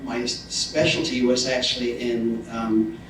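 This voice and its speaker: An older English man gives an informal talk as a retired academic. He speaks haltingly and reflectively at a middling pitch, picked up from a distance with strong echo and faint noise. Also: completely flat delivery. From north of England. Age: 60s or older. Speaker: retired university lecturer, guest speaker. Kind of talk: informal public speech.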